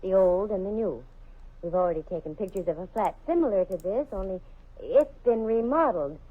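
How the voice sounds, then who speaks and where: An actress playing a confident, wisecracking female private detective in an old radio drama, brisk and sure of herself. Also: medium pitch, expressive, close to an everyday voice. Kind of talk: 1950s radio drama acting. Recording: dull, muffled old radio recording.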